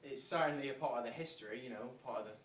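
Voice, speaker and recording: A man's voice: medium pitch, very fast, close to an everyday voice. Earnest fan recounting memories, verbatim theatre, played by a man. Distant mic, faint noise. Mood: reflective. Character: earnest football fans recalling a celebrity visit, verbatim theatre. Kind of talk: verbatim monologue performed on stage. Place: theatre performance space, distant microphone. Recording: roomy stage recording from afar, faint noise.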